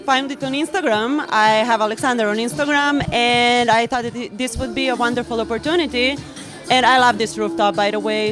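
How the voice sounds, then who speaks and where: A woman from Serbia, a model and business student, answering interview questions in an upbeat, chatty way. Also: fairly high pitch. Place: rooftop event.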